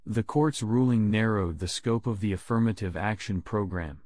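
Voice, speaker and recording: A man reading scripted language test sentences aloud in a measured, deliberate way. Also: fairly low pitch, a little mellow, dry recording, studio quality. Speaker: test prompt reader.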